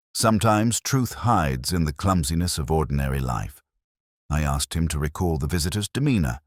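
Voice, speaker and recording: A man narrating a first-person detective story in a measured, brooding, literary manner. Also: very deep voice, dry recording, clean studio sound. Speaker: audiobook narrator.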